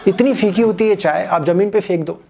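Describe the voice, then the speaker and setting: A young man doing a stand-up comedy set on a small stage, telling an animated story and acting out other people's voices. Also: sits high, brisk, vocal fry, a little hiss or hum. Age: young adult. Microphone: handheld mic. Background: audience laughter.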